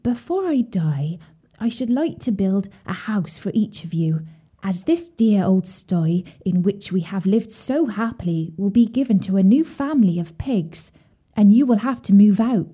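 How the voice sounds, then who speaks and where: A woman voicing an old, dying mother pig who speaks earnestly and a little louder, full of care for her young. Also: mid-range voice, animated, unhurried, breathy edge. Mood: tender.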